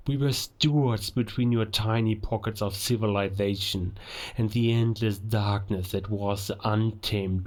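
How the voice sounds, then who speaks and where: A man playing a menacing, ancient werewolf who scorns humankind, speaking in a gravelly, theatrical, deliberate way in a cinematic audio roleplay. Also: fairly low pitch, a little mellow. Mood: proud, scornful. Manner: deliberate, theatrical, philosophical villain monologue with dramatic pauses.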